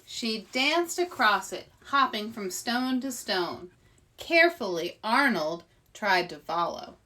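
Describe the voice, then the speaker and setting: A woman reading aloud at children's story time as an expressive, dramatic storyteller. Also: mid-range voice, takes it slowly, far from the mic. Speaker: author reading aloud, host.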